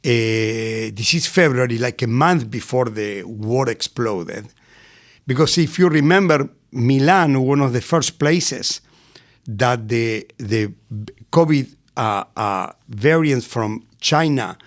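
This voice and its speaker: Animated Argentinian man telling stories. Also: medium pitch, takes it slowly. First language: Spanish. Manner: animated, laughs often, acts out voices in anecdotes. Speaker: restaurant executive guest. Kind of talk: storytelling interview.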